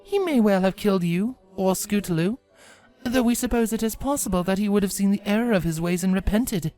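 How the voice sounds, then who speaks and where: Regal pony princess of dreams, put on by a fanfiction narrator: grave, formal, archaic, measured, music underneath. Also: mid-range voice, dark tone, voice pushed up, natural voice. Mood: solemn, measured.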